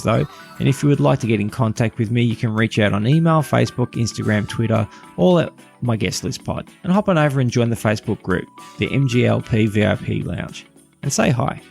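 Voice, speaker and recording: A man's voice: medium pitch, vocal fry. A man hosting a podcast, reading a scripted review close to the microphone.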